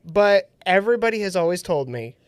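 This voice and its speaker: A man's voice: high-pitched, animated, brisk, slight background noise. A man hosting a casual radio talk show, sounding nasal after being sick, laughing often as he tells a story. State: nasal from recent illness. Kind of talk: casual chat.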